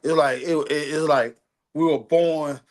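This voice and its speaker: A man's voice: a little high, fairly even intonation, a little slow, crowd noise. A man chatting casually and telling stories in profane street slang, repeating himself and often checking that he is understood. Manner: street slang, profane, repetitive, seeks agreement. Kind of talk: casual chat, storytelling.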